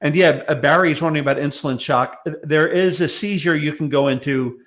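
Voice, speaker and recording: Higher-voiced man, wry and halting. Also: a bit bright. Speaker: guest commentator. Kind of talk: casual comic panel chat.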